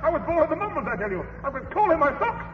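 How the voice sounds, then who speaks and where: A man playing an excitable, gullible young hero in a radio comedy, stammering and giggling. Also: very high-pitched, rapid-fire, narrow, tinny audio. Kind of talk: 1950s radio comedy acting. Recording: old radio broadcast, distant mic, echo, hiss.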